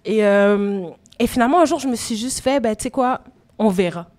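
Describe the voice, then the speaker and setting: A woman's voice: on the high side, moderate pace, a little mellow. A woman in her thirties from Quebec with a Québécois accent, a rapper guest telling her story openly in an interview. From Quebec, Canada. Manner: open, reflective personal storytelling. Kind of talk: candid conversational interview. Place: live podcast taping at a venue. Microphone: phone recording.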